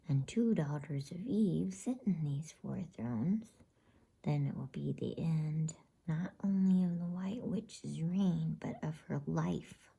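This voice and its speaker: A grave, knowing beaver from a bedtime storybook, acted out by a woman, speaks in a breathy whisper. He is wary and earnest, cautioning in low, unhurried tones. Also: mellow, heavily acted. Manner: earnest, cautionary, old-fashioned storybook speech.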